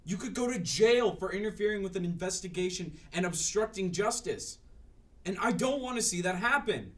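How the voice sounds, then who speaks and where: A man acts out an accusing interrogator in a radio play. His voice is high and very animated, a bit away from the mic. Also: normal speed. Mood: insistent. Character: insistent, accusing man pressing for the truth. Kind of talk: radio drama acting. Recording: slightly distant from the mic.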